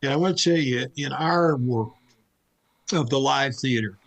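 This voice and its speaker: A man's voice: mid-range voice, a little slow. A man speaking earnestly and anecdotally as a theater creator on a discussion panel.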